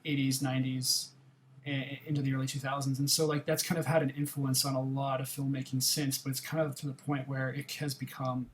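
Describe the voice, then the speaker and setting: A man's voice: medium pitch, flat delivery, a little fast, a little hiss or hum. A filmmaker on a podcast panel, a man reflecting aloud on film and often restarting his thoughts. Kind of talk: casual film discussion.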